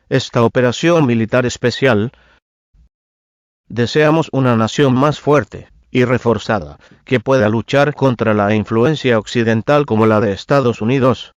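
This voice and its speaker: A man's voice: medium pitch, no room sound, muffled recording. A man reading a formal scripted national emergency broadcast as a government announcer.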